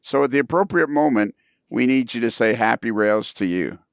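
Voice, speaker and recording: A man's voice: mid-range voice, expressive, moderate pace, creaky, clean tone, dry recording. A man hosting a podcast in casual chat, with a dry, joking manner. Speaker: podcast host.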